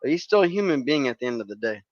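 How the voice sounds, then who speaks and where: A man's voice: mid-range voice, big pitch swings, rapid-fire, dry recording, dull audio. A man from California chatting casually, laid-back and slangy, with sentences that trail off. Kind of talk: casual chat.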